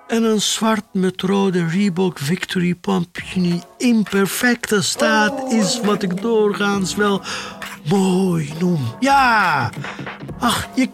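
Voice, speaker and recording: An actor playing a defiant, nostalgic middle-aged collector in a theatrical, halting audio drama monologue. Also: male voice, high-pitched, full character voice. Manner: confiding, theatrical, halting and emphatic. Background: music.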